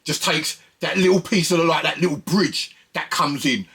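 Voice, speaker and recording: A man's voice: fairly high pitch, normal speed, bright, forceful tone, slightly rough. A man presenting records in a casual, conversational music commentary, often repeating phrases for emphasis.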